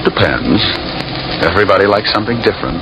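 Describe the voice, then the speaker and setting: Low-voiced wisecracking radio private eye. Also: male voice, expressive, fast talker. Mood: flirtatious, cocky. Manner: flippant, smooth wisecracks. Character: wisecracking, flirtatious male private detective, easygoing tough guy. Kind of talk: 1940s radio detective drama acting. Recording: muffled, noisy old-time radio recording.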